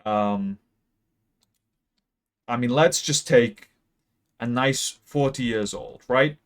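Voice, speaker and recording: Young man, late teens, deadpan mock-math rambler, hesitant, close peaking mic. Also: medium pitch, slow. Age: young adult. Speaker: video creator. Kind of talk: mock-serious graph explainer. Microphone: close mic that peaks.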